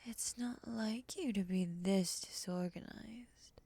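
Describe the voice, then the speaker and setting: A woman playing a cool, skeptical moth monster woman, breathy and half-whispered, sardonic and condescending, in an ASMR roleplay. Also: medium pitch, slow, mellow, dry recording. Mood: sardonic, disdainful.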